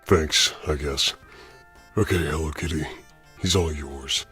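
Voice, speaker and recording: A man voicing a stern, terse superhero mentor with a gruff voice, in a comic dub. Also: a bass voice, slow pace, sharp tone, heavily acted. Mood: stern, curt. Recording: music behind the voice.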